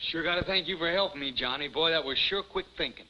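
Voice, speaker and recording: Timid young delivery man, earnest, stammering, fast, bright, vintage TV comedy. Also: on the high side. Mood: grateful. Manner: hesitant, stammering, boyish. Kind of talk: 1950s television comedy acting. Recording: muffled 1950s television soundtrack, faint hiss.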